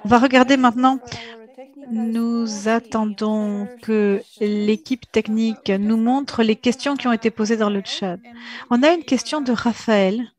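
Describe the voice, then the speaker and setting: A woman moderating an online panel discussion, speaking hesitantly with frequent filler pauses and restarts. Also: a little high. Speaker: forum moderator.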